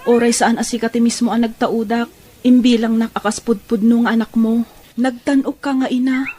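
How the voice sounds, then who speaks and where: A woman's voice: a little high, steady tone, moderate pace, mellow, husky. A woman playing a loving, earnest young daughter in an emotional audio drama, speaking with a Filipino accent. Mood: grateful.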